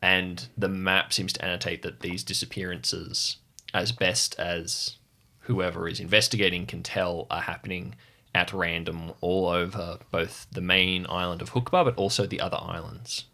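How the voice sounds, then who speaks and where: A man working as game master, narrating a tabletop roleplaying game in measured, descriptive storytelling. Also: sits low, flat delivery, gravelly, sharp tone.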